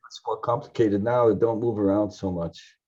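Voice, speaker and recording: A man's voice: on the low side, steady tone, moderate pace, dark tone. An older man with an American accent, chatting casually as a professor on a video call. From United States, grew up in New York. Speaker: visiting professor and speaker. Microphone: computer video call microphone. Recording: dull, muffled video call audio.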